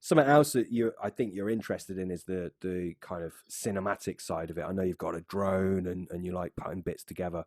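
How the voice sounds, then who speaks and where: A man's voice: low voice, a little fast, few pauses, a little mellow, no room sound, studio quality. A British man hosting a casual interview in a matey, informal way. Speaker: podcast host.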